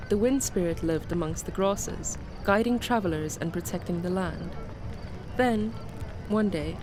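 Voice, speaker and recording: A woman playing a kind, welcoming townswoman in a radio drama, speaking gently. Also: lowish voice, measured pace, music in the background, close to an everyday voice. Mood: gentle storytelling. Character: kind, welcoming townswoman of a peaceful eco-city. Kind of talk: radio drama dialogue and storytelling. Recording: noisy outdoor ambience behind the voice.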